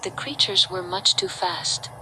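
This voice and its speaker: A woman's voice: fairly low pitch, measured pace, bright, forceful tone. A woman reading a scripted story as its narrator. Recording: clearly noisy background.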